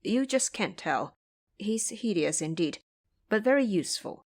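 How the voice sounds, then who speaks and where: A gentle pilgrim monk read by a woman, humble and devout. The delivery is courteous and measured, often dropping lower and quieter, as in an audio drama of an old legend. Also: lively intonation. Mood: hushed, cautioning. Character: polite, humble, devout male travelling monk.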